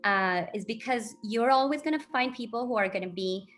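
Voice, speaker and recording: A middle-aged woman from Colombia, a guest speaking earnestly about her path and giving advice, pausing often with ums, heard over a video call. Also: fairly high pitch, a bit bright, faint music behind. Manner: earnest, reflective, hesitant with many ums. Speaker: university diversity officer, guest.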